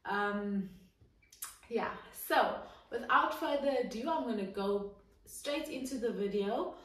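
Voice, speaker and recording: A woman's voice: medium pitch, expressive, slow, fairly bright tone. A woman in her twenties answering viewer questions in a chatty, giggly vlog with lots of fillers. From South Africa. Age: 20s. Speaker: vlogger. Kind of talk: casual Q and A vlog. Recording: echoey room, distant mic.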